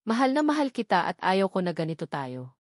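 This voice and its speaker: A woman's voice: medium pitch, sing-song, fairly quick, no room sound, studio quality, natural voice. A woman with a Filipino accent voicing a remorseful, loving young wife in a romance audiobook, tender and apologetic. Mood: tearful, apologetic.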